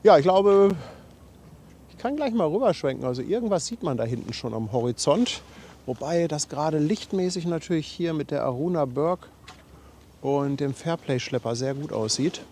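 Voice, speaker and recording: A man's voice: on the high side, expressive, normal speed, darkish tone. Man livestreaming outdoors, faint noise. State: runny nose from a cold. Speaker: livestream host. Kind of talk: casual livestream chat, reading out viewer messages and thanks. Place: outdoors on a harbour riverbank.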